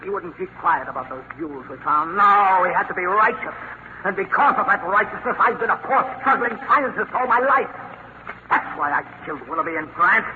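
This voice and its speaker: An actor playing a gloating, vengeful mad scientist villain in a theatrical old-time radio thriller style. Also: male voice, sits high, animated, fairly quick, sharp tone, exaggerated voice. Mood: bitter. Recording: noisy, dull vintage radio recording.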